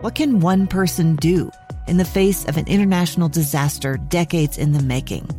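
An American woman narrates a scripted promo as a host. Her voice sits a little low, with faint music underneath. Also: normal speed, warm tone. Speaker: podcast host.

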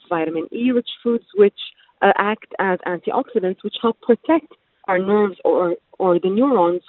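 A woman's voice: medium pitch, dry recording. A woman dietitian from the UAE, a guest on a radio show, explaining fluently with many filler sounds. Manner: fluent and informative, many ums. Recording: tinny phone line.

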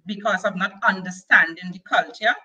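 A middle-aged woman from Tobago with a Tobagonian accent, sharing her personal testimony as an interview guest in a soft, hesitant way full of fillers and restarts. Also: mid-range voice, steady tone, moderate pace, breathy edge, muffled recording.

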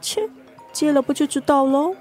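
Bossy female best friend, played by an actress: high-pitched, teasing, mock-indignant, caring underneath, Singaporean accent, radio drama. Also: fairly even intonation, normal speed, a little mellow, soft background music, close to an everyday voice. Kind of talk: Chinese-language radio drama acting.

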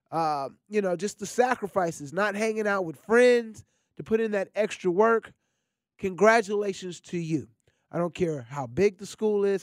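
A man hosting a sports radio show in a studio, chatty and energetic, his voice scratchy and nasal from a cold. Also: high voice, clear, resonant tone, no echo. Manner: energetic, chatty, lots of shout-outs. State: congested, scratchy voice. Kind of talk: casual sports radio talk.

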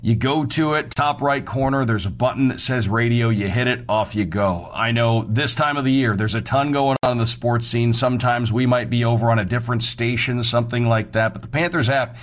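A man's voice: mid-range voice, brisk, few pauses. A man hosting a sports radio show, previewing games and reading promos in a conversational, folksy way.

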